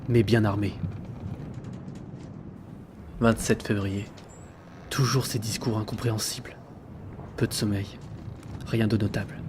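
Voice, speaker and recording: An actor playing a frightened, isolated seaman in an audio drama, halting and anxious, repeating himself. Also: medium pitch, noisy background, close to an everyday voice. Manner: halting, anxious, breathy repetitions. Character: frightened, isolated young seaman keeping a logbook.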